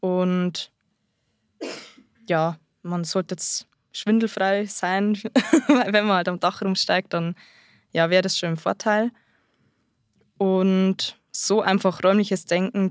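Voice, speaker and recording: A nineteen-year-old woman speaks in a somewhat low voice, groping for words and filling pauses as she answers. The recording is dry and close. She talks casually, as a guest on a podcast. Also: fairly even intonation, no room sound. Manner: hesitant, many fillers and restarts. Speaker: guest, apprentice-trained carpenter.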